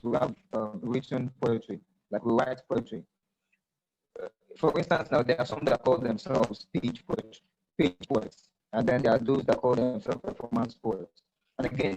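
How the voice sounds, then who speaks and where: Gravelly-voiced Nigerian man explaining. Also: medium pitch, a little mellow, poor audio. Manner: measured, explanatory, with many fillers and restarts. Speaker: panel guest, poet. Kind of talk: panel discussion talk. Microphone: video call.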